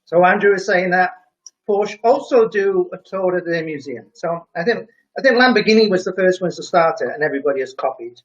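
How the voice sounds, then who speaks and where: A man's voice: sits high, fairly quick. A man with a faint Welsh accent mixed with English, hosting a casual live stream, reading out viewer comments and quizzing them in a chatty, jokey way. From Wales. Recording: dull lo-fi stream audio.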